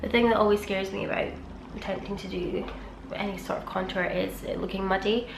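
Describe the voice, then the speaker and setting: A woman's voice: mid-range voice, steady tone, brisk, creaky. A woman with a nasal, bunged-up voice from a cold, chatting casually through a makeup routine at home. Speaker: beauty vlogger. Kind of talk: chatty get-ready-with-me talk. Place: home room beside a busy street. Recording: echoey room with traffic noise. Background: traffic noise.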